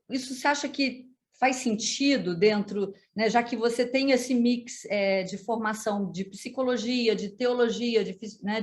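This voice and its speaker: Hesitant woman asking a question. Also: on the high side. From Brazil. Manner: hesitant, many fillers and restarts. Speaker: interviewer. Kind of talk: casual interview question.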